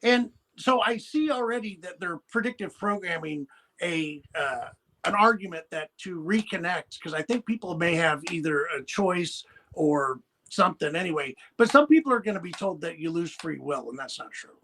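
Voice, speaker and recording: Male podcast guest from the Pacific Northwest, high voice, blunt, folksy, halting delivery. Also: animated, pushed and bright, slight background noise. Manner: halting, many restarts and fillers, blunt and folksy. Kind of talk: rambling conversational storytelling.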